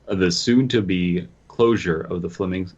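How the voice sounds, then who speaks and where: A man's voice: sits low, measured pace, breathy edge, highs cut off. A man co-hosting a podcast, bantering casually and stumbling as he reads a story aloud. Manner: stumbles while reading aloud. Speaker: podcast co-host.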